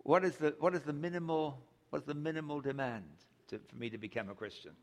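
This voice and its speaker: A man's voice: fairly high pitch, fast. An older man from England, preaching a sermon with humorous storytelling. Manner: humorous storytelling, chuckles at own jokes. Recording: long hall reverb.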